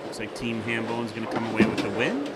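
A man giving play-by-play commentary at a bowling tournament, his voice jumping up in pitch and volume on big shots. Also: quick pace, loud music behind the voice. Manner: lifts pitch and volume excitedly on strikes. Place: bowling alley. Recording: loud crowd and alley noise behind.

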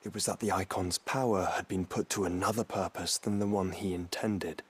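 An actor playing a composed, learned fantasy game companion, husky and breathy, speaking in measured, eloquent phrases. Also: male voice, deep voice, steady tone. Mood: pensive. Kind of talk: dramatic video game acting.

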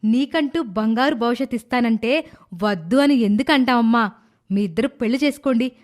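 A man doing a fussy older mother. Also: normal speed. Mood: pleased. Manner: fussy, high falsetto. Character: worried older mother, fussing and caring.

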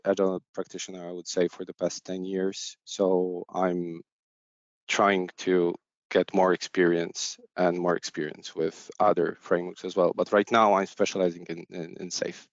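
A man's voice: low voice, steady tone, moderate pace, creaky, no room sound. A man hosting an online webinar as an agile coach, hesitant, with many fillers and restarts, heard over a headset on a video call. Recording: dull, lo-fi video call audio.

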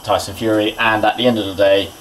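A man's voice: fairly low pitch, little pitch movement, quick pace, slight background noise, poor audio. A man, chatting casually about boxing while cooking in his small kitchen, rambling and muttering to himself, recorded on a camera's built-in mic. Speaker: boxing vlogger. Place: small home kitchen indoors.

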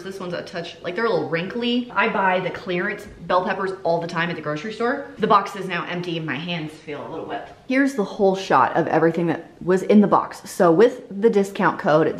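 A woman in her thirties giving a casual, candid review at home, chatty and quick to laugh at herself, filmed by a camera on the counter in her kitchen. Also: mid-range voice, a little hiss or hum. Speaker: vlogger. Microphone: camera on a counter at medium distance. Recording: echoey kitchen room.

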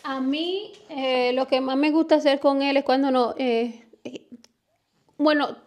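A woman's voice: high-pitched, steady tone, a little slow, darkish tone. Giggly young woman, casual. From Dominican Republic. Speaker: co-host answering viewer questions. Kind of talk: casual chat.

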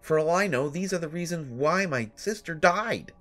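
A man playing a haunted, sleepless brother telling a horror story in first person, with halting, deliberate phrasing and long pauses. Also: fairly high pitch, animated, fairly bright tone. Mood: exasperated, pleading. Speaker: audio drama storyteller. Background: music.

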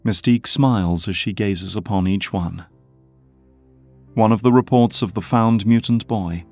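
Low-voiced male audio drama narrator. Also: a little slow, darkish tone, faint music behind.

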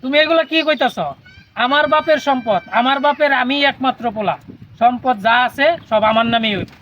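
A man's voice: very high voice, brisk, a bit bright, highs cut off, slight background noise. A man with a Bangladeshi accent playing a headstrong, emotional younger son in a melodramatic family drama. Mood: demanding. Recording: background music over dialogue.

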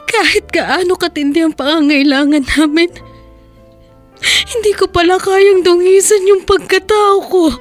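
A woman playing a poor, desperate teenage girl in love in a radio drama, often tearful and pleading. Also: extremely high pitch. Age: teens. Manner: tearful and pleading, soft and hushed in quiet scenes. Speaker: young lover.

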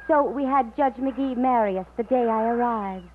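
An actress playing a poised, gracious new bride in an old-time radio drama. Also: female voice, fairly high pitch, moderate pace, natural voice. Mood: coy. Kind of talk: 1950s radio drama acting. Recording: narrow-band old radio recording with faint noise.

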